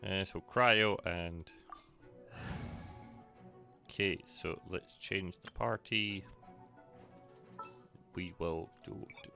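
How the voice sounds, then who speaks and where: A man's voice: low voice, extremely slow, creaky, long pauses, pushed and bright, soft background music. A man streaming a video game, giving casual commentary with joking, rambling asides.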